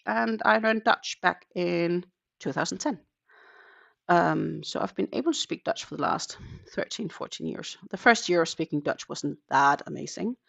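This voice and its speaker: Danish-native woman in her 30s, lower gravelly voice, relaxed live-stream crochet chat, dull lo-fi sound. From Denmark. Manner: relaxed, counts stitches aloud, chatty with viewers. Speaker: crochet channel host. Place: home in the Netherlands.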